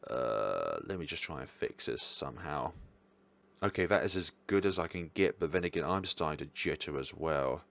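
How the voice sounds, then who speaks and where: Male reviewer, low-pitched voice, informal and off the cuff, wanders and self-corrects. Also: expressive, normal speed, gravelly, a bit bright. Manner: rambling, self-correcting, apologetic asides. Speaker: visual novel reviewer hosting own channel. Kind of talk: casual unscripted review chat to camera.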